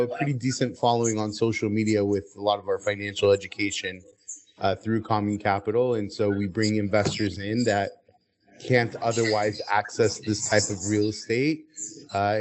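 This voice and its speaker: Podcast guest: American male, lower register, articulate, explains as he goes. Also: steady tone, moderate pace. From United States, Southern California. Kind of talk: interview conversation.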